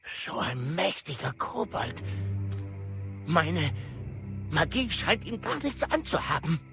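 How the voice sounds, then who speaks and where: An actor playing an earnest older male professor of magic with a measured, thoughtful delivery in an audio drama. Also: on the high side, very animated, vocal fry, pushed and bright, soft background music, heavily acted. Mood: astonished.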